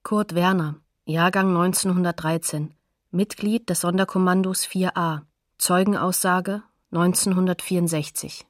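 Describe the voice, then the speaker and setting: A woman's voice: lowish voice, fairly even intonation, unhurried. A woman announcer reading sober, neutral source credits for a radio documentary drama in a factual manner.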